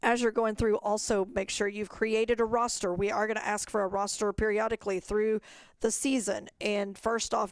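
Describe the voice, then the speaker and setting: A woman's voice: medium pitch, clean tone, dry recording. A woman giving an informational webinar presentation, explaining step by step in an instructional way. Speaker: program staff presenter.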